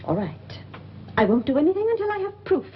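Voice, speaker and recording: High-voiced scolding mother. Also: very animated, fast. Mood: stern, threatening. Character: strict, scolding mother of a young boy. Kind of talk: 1940s film acting. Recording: muffled old film soundtrack with hiss.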